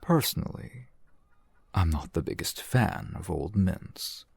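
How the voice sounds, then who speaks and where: Male actor as shrewd fantasy merchant, low husky male voice, formal and wry, unhurried, silky roleplay delivery. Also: expressive, no echo, exaggerated voice. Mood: sly, businesslike. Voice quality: gravelly, husky. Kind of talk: fantasy ASMR roleplay acting. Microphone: close microphone, dry sound.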